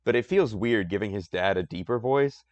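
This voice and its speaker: A man with a deep voice chatting casually on a live stream, rambling and self-deprecating. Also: moderate pace, darkish tone, no room sound, highs cut off.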